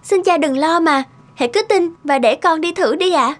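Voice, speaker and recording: A woman's voice: very high-pitched, normal speed. A woman voicing a poor, earnest, polite young village girl in a cartoon folk tale.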